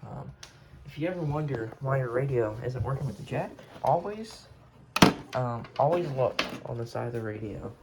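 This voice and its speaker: A man giving a casual, unscripted show-and-tell as a hobbyist collector, rambling and halting as he corrects himself. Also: medium pitch, lively intonation, creaky, very noisy.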